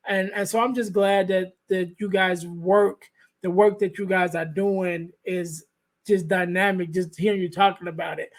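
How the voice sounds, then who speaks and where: A man with a light, high voice hosts a podcast conversation. He talks softly and haltingly, restarting phrases and leaning on fillers as he thinks aloud. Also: steady tone. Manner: halting, many restarts and fillers, soft-spoken. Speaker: podcast host. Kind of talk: conversational interview. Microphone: podcast microphone.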